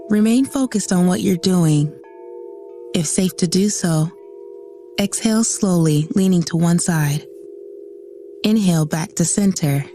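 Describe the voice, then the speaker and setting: Female PSA narrator, soothing and measured, mellow tone, faint music underneath. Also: lowish voice. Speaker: public service announcement narrator. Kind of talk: guided breathing exercise, scripted. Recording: soft music behind the voice.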